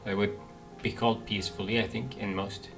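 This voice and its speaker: A man hosting a game playthrough, commenting casually and haltingly as he thinks aloud. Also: on the low side, level tone, vocal fry, music in the background. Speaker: gaming video host.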